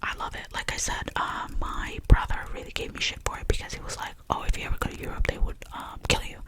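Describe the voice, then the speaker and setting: A woman, whispering softly through a chatty, rambling ASMR talk about her favourite things. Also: poor audio. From Albania. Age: 20s. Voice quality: soft unvoiced whisper. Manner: rambling and chatty, with many fillers. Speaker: ASMR creator.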